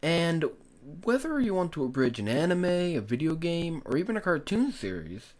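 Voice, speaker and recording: A man's voice: a little high, sing-song, moderate pace, a little mellow. A man narrating a scripted tutorial, speaking in an earnest, instructive way straight to the viewers. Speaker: tutorial host, hobby video creator.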